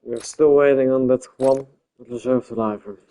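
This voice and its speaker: A Dutch-speaking man commentating a live-streamed online race league in English, halting and searching for words with many fillers. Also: mid-range voice, fairly even intonation, dark tone, low-quality recording. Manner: calls himself a bit boring-voiced.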